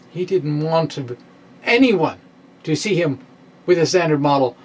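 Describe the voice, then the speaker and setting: A middle-aged man giving a dramatic reading of a science blog in a wry, theatrical manner with pointed pauses, close to a microphone in a small room. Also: fairly high pitch. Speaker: science blogger and presenter. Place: small indoor room with bookshelves. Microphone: microphone on a stand, close in front.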